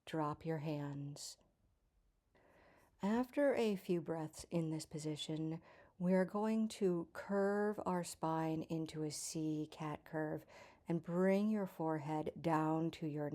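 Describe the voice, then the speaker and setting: A woman teaching a yoga class, giving calm, measured instructions with soft, breathy phrase endings. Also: deep voice.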